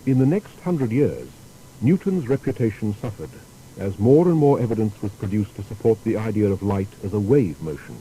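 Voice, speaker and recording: A man narrating a scripted biographical documentary in a measured, explanatory way. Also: lowish voice, expressive, dark tone, a little hiss or hum, rough recording.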